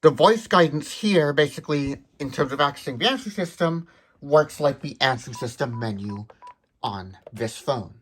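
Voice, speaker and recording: Animated man demonstrating products. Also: fairly high pitch, moderate pace, slight background noise, low-quality recording. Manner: methodical, detail-focused explaining. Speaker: tech demonstrator, video host.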